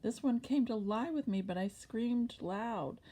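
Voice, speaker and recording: Lady reading as a scheming seductive master's wife, voice jumps up high, insistent, bible story style. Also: a little slow, mellow. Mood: indignant, accusing. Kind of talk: scripture storytelling.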